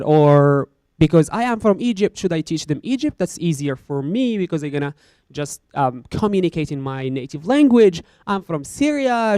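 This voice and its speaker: A man with a smooth, resonant voice lays out his points at a panel, rushing through some phrases with pauses and ums between them. The sound is dry and close to the microphone. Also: a little high, a little mellow, no room sound. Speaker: Arabic teacher answering as panel guest. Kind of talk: panel discussion answer.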